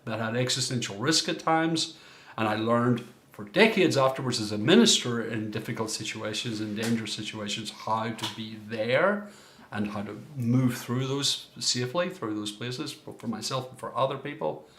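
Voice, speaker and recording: A man's voice: mid-range voice, normal speed, a bit bright, slight background noise. A middle-aged man from Ireland, a coach giving a recorded workshop talk in a reflective way, often searching for his words. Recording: roomy echo.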